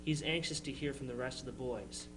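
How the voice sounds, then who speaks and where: A man's voice: mid-range voice, brisk, lightly acted. A man playing an earnest young New England brother of the 1860s, reading letters home plainly with occasional stumbles in a dramatization. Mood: plain, hopeful. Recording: noisy live room recording with hum.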